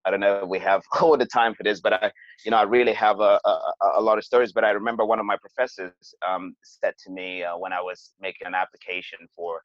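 Low-voiced guy from cameroon on a podcast, dry muffled lo-fi sound. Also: steady tone, quick pace, no echo. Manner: hesitant, many fillers and restarts. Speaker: podcast guest. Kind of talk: conversational interview storytelling. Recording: dull, lo-fi sound.

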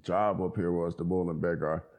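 A man in his twenties talking casually in an interview, relaxed and full of slang, fillers and restarts. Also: deep voice, moderate pace. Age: 20s. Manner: laid-back slang, many fillers and restarts. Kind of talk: casual conversation.

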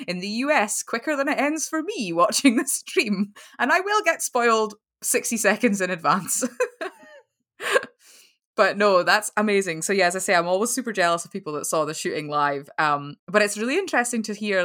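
A woman's voice: medium pitch, a bit bright. A young woman chatting casually as a podcast guest, rambling with lots of "like" fillers. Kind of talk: casual chat over a call.

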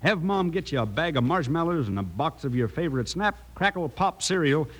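Commercial announcer: American man, fairly high voice, folksy old-time radio pitch style. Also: sing-song, brisk, fairly bright tone. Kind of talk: 1950s folksy cereal commercial.

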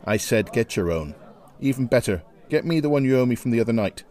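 A man reading aloud and voicing a gruff, bullying older Irish Orangeman who growls and rants forcefully in a bar. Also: lowish voice, animated, normal speed, close to an everyday voice. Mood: growling.

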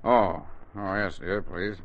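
A man playing a mild, absent-minded middle-aged father in a 1940s radio comedy, mumbling deadpan wisecracks. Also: low-pitched, slow pace. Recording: dull, noisy 1940s radio broadcast.

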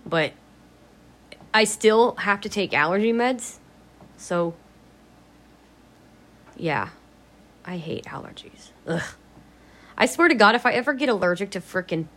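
A woman vlogging in a casual, rambling way, her voice a little raspy from allergies, blunt and free with swear words. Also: medium pitch, fairly bright tone. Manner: chatty, blunt, swears freely. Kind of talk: casual rambling vlog chat.

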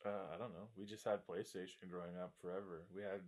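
A young man in his mid-twenties with a lowish voice, talking casually among friends. He jokes around and laughs a lot. He tells his stories with lively self-mockery. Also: fast talker. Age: 20s. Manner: joking, laughs often, acts out quotes. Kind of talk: casual chat.

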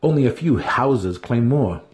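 A man playing a confident, boastful male dark elf elder brother, shrewd and proud, in a fantasy storybook reading. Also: medium pitch, lively intonation, a little slow, natural voice. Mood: confident reasoning.

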